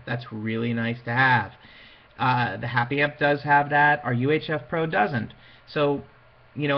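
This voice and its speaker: A man's voice: medium pitch, dull audio. A man giving an informal lecture to an audience, speaking in a conversational, emphatic way and acting out little asides. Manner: conversational, emphatic, illustrates points with quoted asides. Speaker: lecturer on PA systems for wedding officiants.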